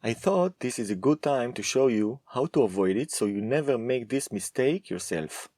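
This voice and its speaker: A man teaching a Hebrew lesson, speaking slowly and deliberately with firm emphasis. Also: medium pitch, animated, warm tone, no room sound, clean studio sound. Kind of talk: scripted lesson to camera.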